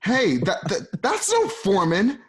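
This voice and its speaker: A man's voice: high voice, wildly swooping pitch, measured pace, fairly bright tone, slightly rough. A man narrating an amateur radio drama in a casual, playful way, with chatty asides and laughter.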